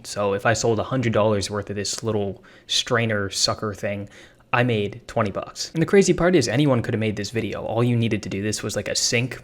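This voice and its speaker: A young man giving a casual, hyped, slang-heavy tutorial on making money online, talking off the cuff to his viewers. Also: lowish voice. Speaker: online business creator.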